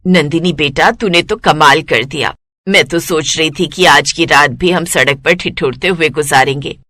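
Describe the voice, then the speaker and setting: Affectionate mother figure, acted by a female narrator, lowered pitch, reassuring, middle-aged, cartoon storytelling. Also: moderate pace, bright, forceful tone, no echo, studio quality. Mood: amazed, proud.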